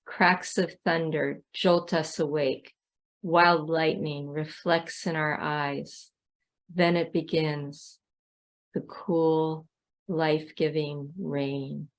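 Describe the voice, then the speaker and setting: A woman reciting a poem in a deliberate, measured way with pauses between phrases. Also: low-pitched, flat delivery. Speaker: poet reading own poem. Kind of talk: poetry recitation.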